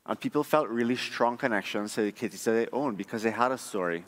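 A man from Trinidad gives a prepared conference talk in a measured, conversational, explanatory way. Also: medium pitch. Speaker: conference speaker, front-end engineer. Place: large conference room. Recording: echoey hall, long reverb.

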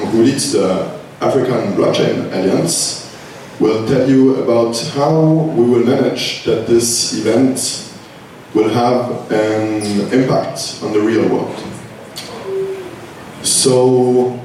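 A man introducing a conference and its speakers at a slow, measured pace. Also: mid-range voice, a little mellow, a little hiss or hum. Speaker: event organizer, conference host. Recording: echoey hall, speaker far from mic.

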